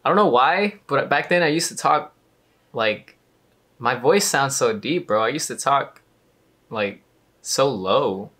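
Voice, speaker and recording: A man's voice: mid-range voice, lively intonation, a little slow, pauses often, fairly bright tone. A young man casually reacting to his own old video, talking in a laid-back, slangy way into a headset mic in a small bedroom. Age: young adult. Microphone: gaming headset mic.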